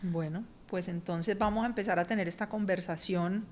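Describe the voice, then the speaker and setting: A woman's voice: on the low side, steady tone, moderate pace, slight background noise. A woman who hosts a podcast, conversationally encouraging and questioning her guest. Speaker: podcast host. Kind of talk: interviewing a guest.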